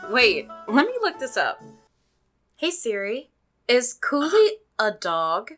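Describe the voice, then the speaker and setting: A woman's voice: a little high, takes it slowly, faint music behind. A woman hosting a visual novel let's play, reading aloud and reacting expressively. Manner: expressive, reactive, gasps and giggles. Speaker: gaming channel host.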